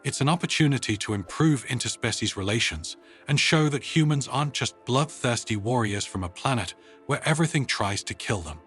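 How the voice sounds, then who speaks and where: A man's voice: mid-range voice, lively intonation, fairly quick, fairly bright tone, faint music behind, lightly acted. A man voicing an amused, formal, teasing insect-like alien admiral in a sci-fi audio story. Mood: brisk, pleased.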